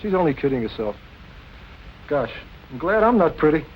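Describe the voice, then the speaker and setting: An actor playing a gossipy, deferential nightclub employee in 1940s film noir style. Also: male voice, a little high, sing-song, moderate pace. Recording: dull, noisy old film soundtrack.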